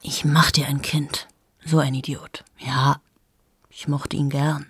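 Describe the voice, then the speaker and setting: A woman's voice: little pitch movement, slow, no echo, heavily acted. An actress in her thirties playing a cold, cynical journalist in a radio play monologue, confiding and often dropping to a bitter whisper. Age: 30s. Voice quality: husky, gravelly low voice.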